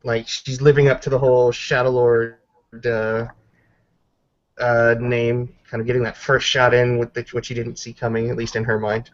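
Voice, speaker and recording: Man, mid-to-low pitch, conversational and halting tabletop roleplay narrator, slightly muffled lo-fi recording. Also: little pitch movement. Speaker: player narrating a character's combat moves. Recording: dull lo-fi voice recording.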